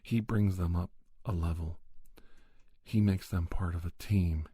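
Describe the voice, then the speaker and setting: A man hosting an ASMR podcast, giving a spoken monologue in a measured, reflective way with pauses. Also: low-pitched, steady tone, dark tone, breathy edge, no room sound.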